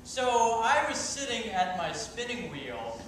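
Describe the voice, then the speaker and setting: An offhand princess in a tower acted by a man for an improv comedy show, with a high voice and casual, easily bored delivery. The sound is distant and very echoey, with clear background noise. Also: sing-song, slow, fairly bright tone, exaggerated voice. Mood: offhand, puzzled. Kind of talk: improv comedy storytelling on stage. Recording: distant mic, echoey noisy room.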